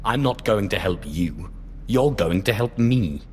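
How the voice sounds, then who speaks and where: Deep-voiced, menacing Sith lord. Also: male voice, expressive, measured pace, a little hiss or hum. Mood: cold, sardonic. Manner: curt, commanding, sardonic.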